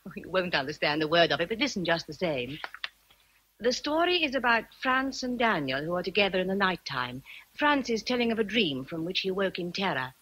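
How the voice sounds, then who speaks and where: An actress playing a bookish, gentle young English schoolteacher in a radio drama. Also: female voice, on the low side, big pitch swings, fairly quick, slight background noise, rough recording. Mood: enthusiastic.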